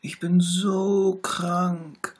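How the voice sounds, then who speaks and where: Man putting on a little tiger cub, high and whimpering, sickly and demanding, husky, storybook voice. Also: level tone, extremely slow, heavily acted. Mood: whiny, sickly. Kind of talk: storybook reading.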